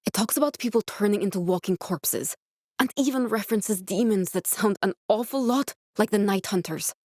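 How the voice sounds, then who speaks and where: A woman's voice: mid-range voice, moderate pace, darkish tone, no room sound, lightly acted. Earnest woman scientist played by an actress, close dry sound. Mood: matter-of-fact, businesslike. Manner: earnest, direct, instructive. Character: determined, caring young woman scientist guiding a survivor. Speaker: video game voice actress. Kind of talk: naturalistic dramatic video game dialogue.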